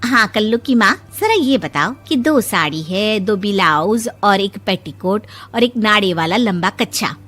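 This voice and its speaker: Cartoon village neighbour played by a woman: nosy, mocking, bright sharp voice, music behind. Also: mid-range voice, lively intonation, a little slow, few pauses. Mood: gossipy. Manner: teasing, gossipy. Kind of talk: animated moral story dialogue.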